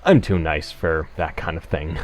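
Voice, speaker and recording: A man giving casual game commentary as a let's play host, explaining things in a hesitant, halting way. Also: a bass voice. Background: game music.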